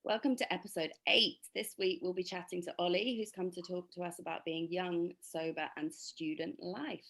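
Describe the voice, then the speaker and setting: A young woman co-hosting a podcast, slightly husky from a cold, chatting casually and upbeat. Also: lowish voice, fairly even intonation, normal speed, fairly bright tone. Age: young adult. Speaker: podcast co-host.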